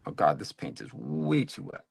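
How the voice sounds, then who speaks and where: A man's voice: medium pitch, huge pitch swings, moderate pace, creaky, breathy edge, dry recording. A man from Long Island with a New York accent, chatting casually and rambling while painting miniatures on a stream. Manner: rambling, lots of fillers and self-corrections. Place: home studio at a hobby desk.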